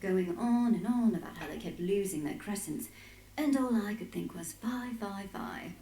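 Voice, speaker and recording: A mellow-voiced woman scholar, played by an actress in a fantasy game. She is inquisitive and insistent, medium in pitch, with a roomy echo around her. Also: lively intonation, moderate pace, a little hiss or hum, lightly acted. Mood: warm, teasing. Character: keen, headstrong woman scholar, a historian. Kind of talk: dramatic video game voice acting.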